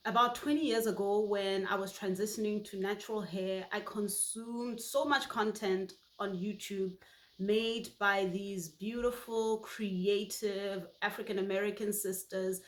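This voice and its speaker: A woman in her forties from Botswana, whose first language is Setswana, telling personal stories in a warm, heartfelt way. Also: medium pitch, steady tone, measured pace, roomy sound. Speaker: YouTube host. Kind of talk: personal reflective monologue.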